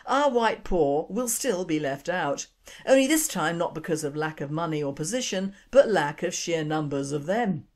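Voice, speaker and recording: Older woman, low voice, radio host reading an indignant opinion piece. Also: expressive, moderate pace. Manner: emphatic, indignant, rhetorical questions. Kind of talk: scripted opinion commentary.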